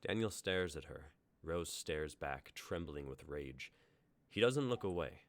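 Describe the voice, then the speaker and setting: Very deep-voiced man reading directions. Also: lively intonation, a little slow. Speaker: narrator reading stage directions. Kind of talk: reading scripted narration aloud.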